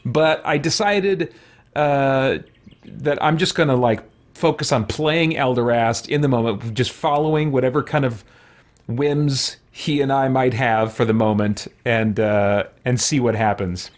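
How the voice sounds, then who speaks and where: A man's voice: medium pitch, a little slow. A man hosting a let's play, giving informal gaming commentary as he thinks aloud.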